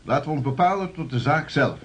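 A learned clergyman in a historical radio play. His voice is raised in pitch and husky, his manner stern, formal and admonishing. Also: expressive, fast talker, highs cut off. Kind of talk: radio drama acting.

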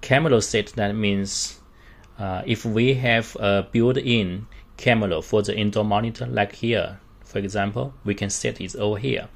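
A man giving an unscripted product walkthrough in halting, short phrases with non-native English grammar. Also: on the low side, fairly even intonation, gravelly. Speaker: product demonstrator.

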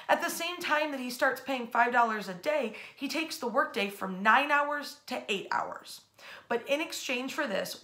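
An American woman in her thirties telling history informally, with an animated, emphatic delivery. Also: fairly high pitch. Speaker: history storyteller and host. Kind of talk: informal history lesson told as storytelling. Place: bedroom, indoors.

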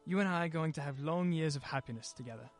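An actor playing an evasive husband in a radio play, theatrical and patronizing, smooth but quick to get irritable. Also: male voice, a little high, soft background music, natural voice. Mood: coaxing.

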